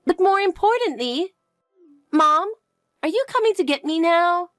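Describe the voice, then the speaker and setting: Lady doing a little girl in a story, high and bouncy, clingy, keeps asking stuff. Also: expressive, unhurried, no room sound, soft background music. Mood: eager. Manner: pleading, eager questions. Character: young girl, anxious and clingy.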